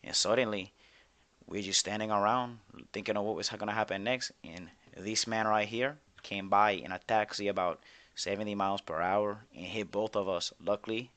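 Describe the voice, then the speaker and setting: A man's voice: fairly low pitch, fairly even intonation, normal speed, a bit bright. A man roleplaying a defendant, telling his side of the story in court in a rambling way with lots of fillers. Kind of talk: casual roleplay testimony.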